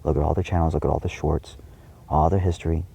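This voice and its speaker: A man giving an impassioned, indignant monologue to viewers, emphatic and repetitive, with a gravelly, husky voice. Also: rumbling low, fairly even intonation, fast talker, dark tone, a little hiss or hum. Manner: frequent swearing. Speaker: video creator addressing viewers.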